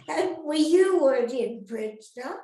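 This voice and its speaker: An older woman, an actress reminiscing casually in an interview, rambling and halting, often turning questions on her listeners. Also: high voice, mellow. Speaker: retired actress being interviewed. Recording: roomy, echoey sound.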